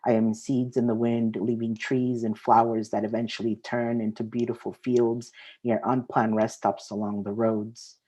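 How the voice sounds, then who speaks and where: A woman's voice: extremely low pitch, flat delivery, moderate pace, warm tone, low-quality recording. A woman, sharing her poetry at an open mic. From Mexico, raised partly in the US Southwest. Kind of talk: spoken-word poetry reading with casual introduction.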